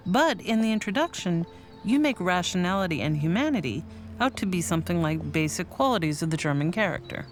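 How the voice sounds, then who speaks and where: A woman's voice: on the low side, lively intonation, close to an everyday voice. Woman reading as a young scholar writing letters. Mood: firm. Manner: measured, formal, thoughtful. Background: faint music.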